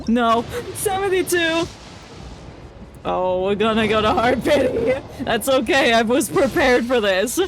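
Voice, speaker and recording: A woman's voice: fairly high pitch, animated, a little slow, over loud music. A woman chatting casually as she streams a game, with a playful, mock-dramatic manner. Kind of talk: casual livestream gameplay chat.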